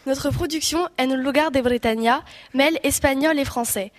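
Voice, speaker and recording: A woman's voice: sits high, moderate pace. A teenage girl reading a scripted introduction as the presenter of a student audio production.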